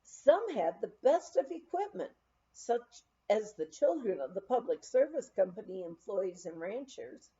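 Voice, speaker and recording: A woman's voice: medium pitch, sing-song, measured pace, warm tone. A woman reading a memoir aloud as an audiobook narrator, on a slightly dull recording. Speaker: audiobook reader.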